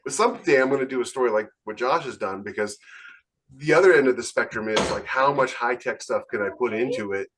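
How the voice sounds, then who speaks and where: Man chatting enthusiastically, mid-pitched voice. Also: brisk, a bit bright. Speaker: podcast co-host and science fiction writer. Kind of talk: casual podcast discussion.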